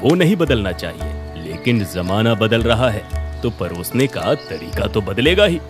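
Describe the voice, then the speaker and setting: A man voicing a wise, calm old village gentleman in a cartoon story, giving measured, gently reproving advice. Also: on the low side. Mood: calm reproof. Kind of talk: animated cartoon story dialogue. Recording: music under the voice.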